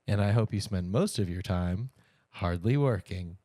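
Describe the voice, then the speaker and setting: A man's voice: deep voice, normal speed, mellow, no echo, clean studio sound. A man in his thirties co-hosting a podcast in a casual, playful chat, with a jokey, bantering way of talking. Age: 30s. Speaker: podcast co-host.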